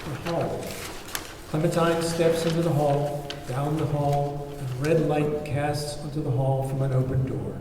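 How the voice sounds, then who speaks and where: A man's voice: fairly high pitch, level tone, unhurried. A man narrating, reading out the stage directions of a script at a table read. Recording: very echoey room, faint background noise.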